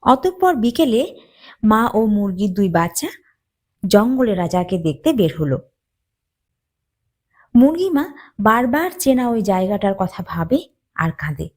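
Slow, very expressive woman storyteller. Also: mid-range voice. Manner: deliberate, dramatic phrasing. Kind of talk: expressive narration of a children's moral story.

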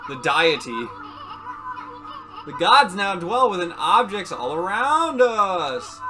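Male gaming co-host, very expressive pitch, foul-mouthed and jokey, bantering over game music. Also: slow pace. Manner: crude, jokey, heavy swearing. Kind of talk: bantering Let's Play commentary.

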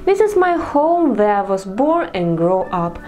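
Young Russian woman, slow careful English. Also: medium pitch, sing-song, dark tone. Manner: careful, deliberate non-native English. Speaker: vlogger. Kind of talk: scripted narration to camera.

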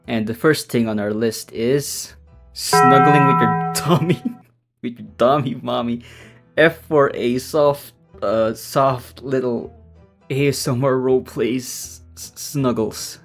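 A man doing casual, deadpan narration as the host of a meme tier-list video. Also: mid-range voice, slow pace, soft background music.

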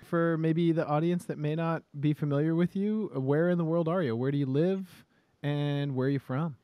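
A man in his thirties hosting a casual podcast at a desk mic, animated and enthusiastic, with casual swearing. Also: a little high, moderate pace, no room sound. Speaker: podcast host. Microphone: studio microphone at a desk.

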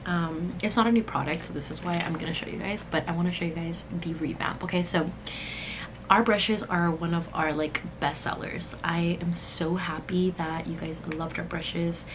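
Low-pitched woman chatting, noisy room. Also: steady tone, moderate pace. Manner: chatty, conversational, addresses viewers directly. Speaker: vlogger and cosmetics brand owner. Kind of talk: casual talk to camera. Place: small indoor room at work. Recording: clear room noise, camera audio.

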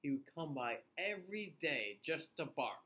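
A middle-aged man reading a picture book aloud to young children, expressively, with questions and pauses for them to answer. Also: on the high side, big pitch swings, bright, forceful tone. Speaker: children's class host and teacher reading aloud. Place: small indoor room.

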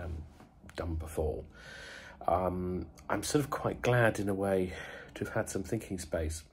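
A man with a cold, talking quietly in a hotel room in a casual vlog update, with many ums and hesitations. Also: fairly low pitch, slightly rough. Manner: speaks softly, hushed, many ums. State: bunged up with a cold. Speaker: vlogger.

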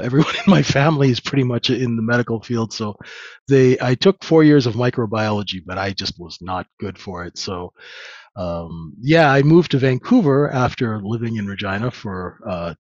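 A man in his fifties who grew up in Canada, telling his life story as an interview guest in a relaxed, rambling way with many fillers. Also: fairly low pitch, no echo. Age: 50s. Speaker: trader guest. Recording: dull lo-fi dry sound.

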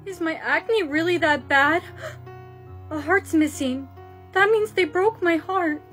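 A woman's voice: very high-pitched, slow pace, a bit bright, soft background music, full character voice. A woman playing a frightened, pleading schoolgirl in a melodramatic skit, with an anxious and emotional delivery. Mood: hurt, anxious. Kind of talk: melodramatic short skit acting.

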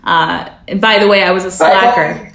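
Gravelly-voiced woman journalist, thoughtful. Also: fairly low pitch, steady tone, moderate pace, fairly bright tone, echoey room. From family from the former Soviet Union. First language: Russian. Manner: thoughtful, many fillers and self-corrections. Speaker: journalist and podcast guest. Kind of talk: reflective interview conversation.

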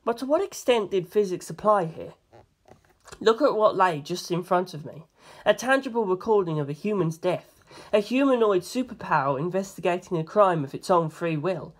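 Narrator reading a story aloud, mid-pitched. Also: lively intonation, normal speed. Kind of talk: scripted audiobook-style narration of fan fiction.

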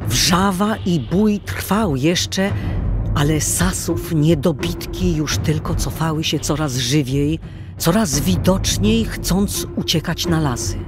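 A woman's voice: low-pitched, animated, takes it slowly, music in the background. A woman narrating an old tale as scripted audio drama, in a solemn, theatrical manner.

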